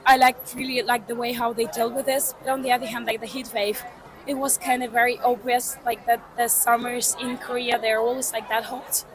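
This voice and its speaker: A woman's voice: a little high, little pitch movement, brisk, rough recording. A woman from Ukraine, a scout leader, speaking frankly and at length on the street. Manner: frank, flowing complaints. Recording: busy crowd behind.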